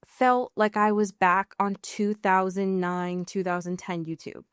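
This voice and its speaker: A woman's voice: medium pitch, level tone, a little slow, smooth, no room sound, clean studio sound. A woman co-hosting a podcast in casual chat, slangy and emphatic, with plenty of swearing. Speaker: podcast co-host.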